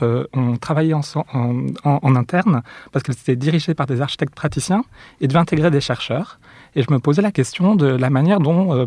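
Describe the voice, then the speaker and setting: A man, an architect, calmly explaining his studies and research in an interview, with frequent hesitations. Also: mid-range voice, no room sound. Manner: measured, thoughtful, frequent fillers. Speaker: architect, office co-founder.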